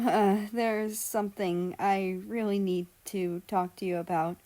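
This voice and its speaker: A big, shy young man from a storybook, acted by a woman reader, speaking slowly and haltingly. He is earnest and hesitant. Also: medium pitch, mellow, close to an everyday voice.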